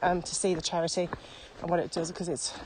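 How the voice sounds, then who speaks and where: A woman's voice: lowish voice, level tone, brisk, a little mellow. A British woman co-hosting a casual walking podcast outdoors, speaking in an earnest, emphatic, opinionated way. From United Kingdom. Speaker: podcast co-host. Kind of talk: casual conversational chat while walking. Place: outdoors, walking on a mountain path. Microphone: handheld recorder without wind cover. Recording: very noisy windy outdoor location recording.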